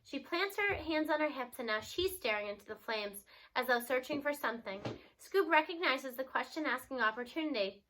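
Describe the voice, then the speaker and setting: A woman giving an expressive read-aloud of a children's novel. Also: high-pitched, fairly quick, poor audio.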